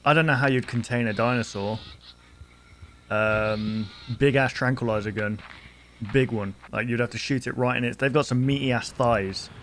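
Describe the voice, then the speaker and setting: A young man gives casual reaction commentary with wry, musing asides. He is close on a desk mic at a middling pitch, with clear noise from a film behind him. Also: fairly even intonation, moderate pace, fairly bright tone. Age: 20s. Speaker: reaction video host. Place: small bedroom studio indoors. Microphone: close desk microphone.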